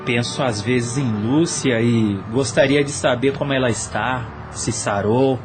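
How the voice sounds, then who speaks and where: A subdued spirit played by a man owns up plainly in an audio drama. His mid-pitched voice carries remorse and a Brazilian accent, with music behind. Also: unhurried, natural voice. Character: subdued male spirit, former brothel accomplice with remorse. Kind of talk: Portuguese-language audio drama acting.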